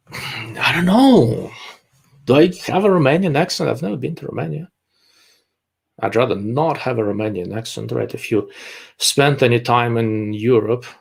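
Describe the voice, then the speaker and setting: A man with a gravelly, creaky voice at an ordinary male pitch, hosting a casual live stream. He answers viewer questions bluntly and in his own time, pausing often with "uh" as he thinks aloud. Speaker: livestream host answering viewer chat.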